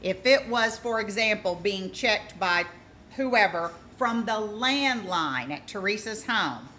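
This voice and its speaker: A woman giving informal true-crime commentary as a vlogger, emphatic and deliberate, repeating points for stress. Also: a little high, sharp tone, slight background noise.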